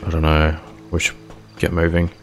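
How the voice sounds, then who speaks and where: Guy playing take-charge zombie survivor in a game skit, low voice, careful, music behind him. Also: monotone, moderate pace. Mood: uneasy. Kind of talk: improvised video game roleplay skit.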